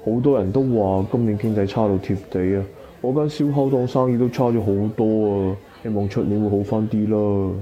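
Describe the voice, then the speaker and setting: Cantonese radio drama: teasing, easygoing chubby everyman played by a man, very mellow low-ish voice, muffled recording. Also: fairly even intonation, normal speed, few pauses, close to an everyday voice. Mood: plain, modest. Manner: joking, colloquial banter. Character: chubby male barbecue-stall owner, teasing, easygoing old classmate. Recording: dull lo-fi audio.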